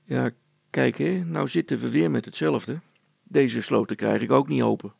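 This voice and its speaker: A down-to-earth handyman from a storybook, voiced by a male reader, with a very creaky, husky voice. He is polite, practical and plainly reassuring. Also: medium pitch, normal speed, darkish tone, dry recording. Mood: worried, practical. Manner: matter-of-fact, reassuring. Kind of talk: children's storybook character acting.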